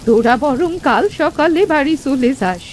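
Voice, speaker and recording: A woman's voice: sits high, lively intonation, normal speed, slight background noise. A woman playing a kindly old granny who is a friendly ghost, doting and folksy, in a children's cartoon. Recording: music behind the voice.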